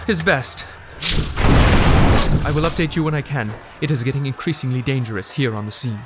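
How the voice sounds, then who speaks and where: An actor playing an earnest, urgent radio news reporter giving a formal live report from a battle. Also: male voice, mid-range voice, a little fast, over loud music, lightly acted. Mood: tense.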